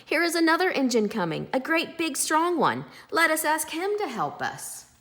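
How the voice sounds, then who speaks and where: A woman's voice: high-pitched, sing-song, normal speed, fairly bright tone, voice pushed up. A woman voicing a small, hopeful toy clown in a storybook, calling out in an eager, encouraging way.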